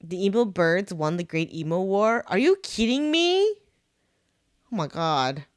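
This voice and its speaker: A woman's voice: mid-range voice, very animated, slow pace, no room sound, studio quality. A young woman chatting casually while streaming a game, giggly and delighted. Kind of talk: casual live-stream chat.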